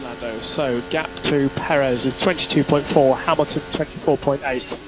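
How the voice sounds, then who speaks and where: A male race engineer speaks over a team radio feed with music clearly behind him. He gives clipped, procedural instructions to a driver and repeats the key ones. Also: medium pitch, steady tone, normal speed, rough recording.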